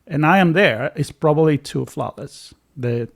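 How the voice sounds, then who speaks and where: A man's voice: fairly high pitch, animated, normal speed, vocal fry. A man with an Italian accent co-hosting a casual interview, musing and joking with hesitant restarts. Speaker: podcast co-host.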